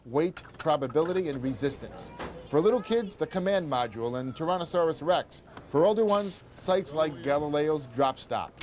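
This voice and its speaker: A man's voice: a little high, darkish tone, a little hiss or hum. An older man hosting a travel show, giving upbeat, conversational tour-guide narration.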